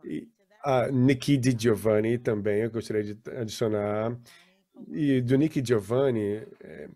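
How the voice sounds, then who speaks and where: A man, interpreting live over a video call in a halting, self-correcting way. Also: mid-range voice, warm tone. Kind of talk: live interpretation for an online panel.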